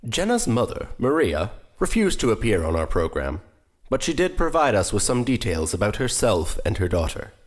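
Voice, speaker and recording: A man's voice: on the low side, huge pitch swings, normal speed. A man playing a solemn documentary presenter in an audio drama, with grave, theatrical scripted narration. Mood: grave, wistful.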